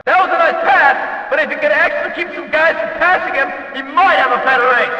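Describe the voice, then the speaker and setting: A man playing an excitable race announcer in a video game, calling the race from the booth in a folksy, emphatic, dramatic way. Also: very high-pitched, fast, heavily acted. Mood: measured, critical. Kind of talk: excited motorsport race commentary. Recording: echoey track PA, slightly dull, distant.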